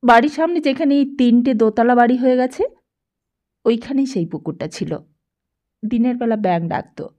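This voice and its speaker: A woman's voice: on the high side, warm tone. Woman narrating an audiobook story.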